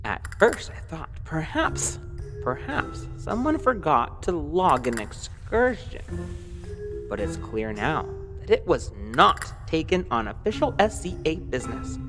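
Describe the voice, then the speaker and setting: A woman's voice: low-pitched, sing-song, unhurried. A woman playing a nervous, secretive informant in a science fiction audio drama, hesitant and confiding. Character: nervous, secretive female informant in a space empire. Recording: long reverberant hall, music behind.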